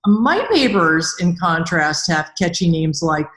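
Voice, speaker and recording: Female podcast host with a fairly low voice, casual interview chat, recorded with noticeable room echo. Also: lively intonation, a little slow, a bit bright. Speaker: podcast host, author and engineer. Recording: roomy, echoey sound.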